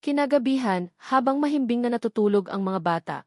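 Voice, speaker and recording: A woman's voice: fairly high pitch, lively intonation, a little fast, no room sound. Woman narrating a dramatic story, dry sound.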